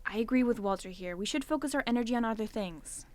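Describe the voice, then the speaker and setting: A woman's voice: fairly high pitch, quick pace, darkish tone, natural voice. A woman acting as a blunt, practical young student who is easily annoyed, in an amateur audio drama. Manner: blunt, candid.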